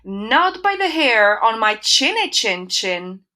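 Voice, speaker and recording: A woman voicing a sensible, hardworking young male pig with a squeaky, urgent voice. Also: sing-song, slow pace, sharp tone, full character voice. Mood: anxious.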